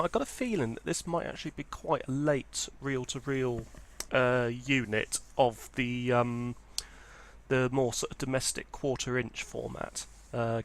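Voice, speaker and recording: A man with a medium-pitched voice presents a hobby video in an informal, unscripted style. He explains details step by step, with a hesitant delivery that often hedges as it goes. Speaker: presenter of a vintage audio hobby channel. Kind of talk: informal product walkthrough, unscripted.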